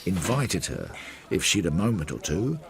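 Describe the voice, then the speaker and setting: An actor playing a Victorian police sergeant who narrates his inquiry in a measured, wry, literary way in a radio drama. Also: low-pitched, huge pitch swings, creaky, full character voice.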